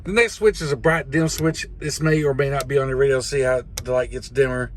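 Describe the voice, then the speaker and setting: A man's voice: medium pitch, fairly even intonation, brisk. A middle-aged American man, a truck driver, giving a casual hands-on tutorial in a plain, folksy way. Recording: clearly noisy vehicle cab.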